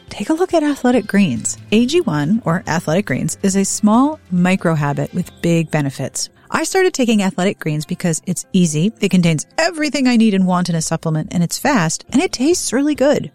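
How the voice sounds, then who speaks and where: A woman's voice: mid-range voice, a little mellow. A woman hosting a podcast, reading scripted intros and sponsor spots in a chatty, emphatic way. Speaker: podcast host. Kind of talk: scripted podcast intro and host-read ads.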